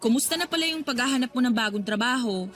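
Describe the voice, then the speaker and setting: A woman's voice: on the high side, expressive, fast, fairly bright tone. An actress playing an exasperated, sulky young working girlfriend with a Filipino accent, huffy and nagging in a radio drama. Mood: questioning. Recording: background music under the voice.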